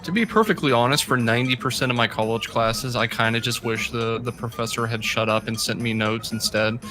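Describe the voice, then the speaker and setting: A man's voice: medium pitch, fairly quick. A man co-commentating a video game race in a casual way, making dry, wry remarks. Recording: music playing behind.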